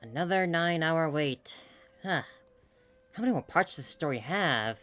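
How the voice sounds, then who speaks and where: A woman's voice: low voice, expressive, normal speed, fairly bright tone. A woman streaming a video game with casual commentary, musing aloud in short reactions. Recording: game music behind the voice.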